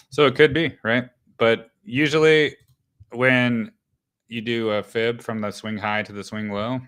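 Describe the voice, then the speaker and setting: A man hosting a live market show, talking casually through stock charts with a smooth voice and many fillers and restarts. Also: fairly low pitch, fairly bright tone. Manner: loose, thinking aloud, many fillers and restarts.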